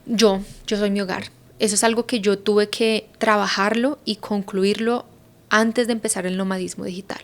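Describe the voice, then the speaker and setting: A woman's voice: mid-range voice, steady tone, moderate pace. A Colombian woman in her thirties, a podcast guest talking reflectively and thinking aloud with lots of fillers. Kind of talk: casual conversation.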